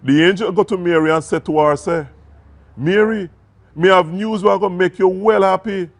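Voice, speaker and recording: A man's voice: high voice, normal speed. A man from Jamaica with a Jamaican accent, reading scripture in English and patois and explaining it earnestly. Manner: earnest, emphatic.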